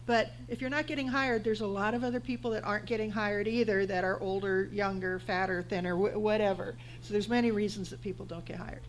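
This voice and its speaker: A woman's voice: medium pitch, steady tone, fairly quick, few pauses, slight background noise, rough recording. A woman in her fifties speaking on a panel, giving blunt, encouraging career advice. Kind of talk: panel discussion.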